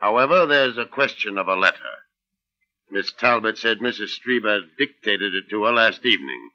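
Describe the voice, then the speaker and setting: Male inspector for vintage radio mystery: very sharp, forceful, lowish voice, clipped and official. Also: animated, normal speed. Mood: probing. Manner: official, clipped, politely insistent. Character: formal, probing male police inspector. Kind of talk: old-time radio mystery acting. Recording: slightly dull old radio recording.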